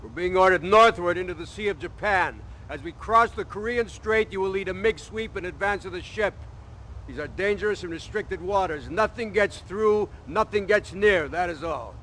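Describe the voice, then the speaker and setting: A man's voice: high voice, lively intonation, moderate pace, sharp tone, a little hiss or hum, poor audio. A man playing an authoritative navy commanding officer, giving clipped orders in a dramatic military briefing. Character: gruff, commanding male navy commanding officer briefing fighter pilots.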